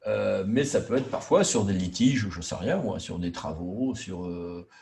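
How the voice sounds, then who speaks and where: A man's voice: deep voice, a little slow, creaky, a little mellow. A man giving an informal training lecture as a trainer, colloquial and didactic, stressing key words. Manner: colloquial and didactic, acting out imagined quotes. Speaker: trainer lecturing local officials on intermunicipal law.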